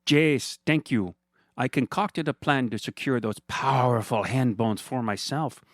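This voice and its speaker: A man's voice: mid-range voice, unhurried, no echo, studio quality, natural voice. A man voicing a smug, villainous male hand model who gloats in a theatrical, condescending way. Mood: gloating. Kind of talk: comedic storytelling voice.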